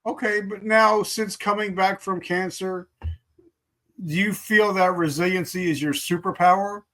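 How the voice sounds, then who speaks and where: A man's voice: sits high, fairly even intonation, a little slow, fairly bright tone. A man in his fifties hosting an interview, eager and stumbling over repeated words as he asks questions. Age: 50s. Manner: enthusiastic, repeats words when starting. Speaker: podcast host. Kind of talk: interview host.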